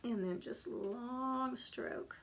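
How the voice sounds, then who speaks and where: A woman with a Texas accent and a somewhat high, gravelly voice. She talks through a live painting tutorial in a casual, rambling way, drifting into homey asides from her craft workspace. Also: extremely slow, a little hiss or hum. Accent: Texan.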